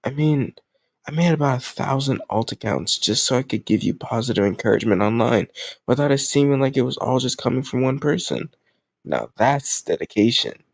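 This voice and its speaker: Man voicing a lovesick male kidnapper, gravelly fry, dry close sound, possessive, doting, awkward rambling asides, intimate roleplay audio. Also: medium pitch, lively intonation, fairly quick, dry recording. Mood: lovestruck, rambling. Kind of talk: roleplay audio drama, intimate one-sided conversation to listener.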